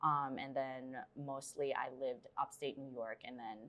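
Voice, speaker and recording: Casting: young singer from the Philippines, low warm-toned voice, friendly, hesitant, lots of ums. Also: female voice, fairly even intonation, measured pace. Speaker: singer, interview guest. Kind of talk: casual interview answers.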